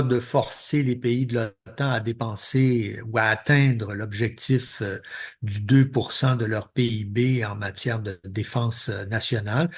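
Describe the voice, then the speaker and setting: A man, co-hosting a political podcast and explaining events in a measured way. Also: medium pitch. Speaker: podcast co-host. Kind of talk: analytical political discussion.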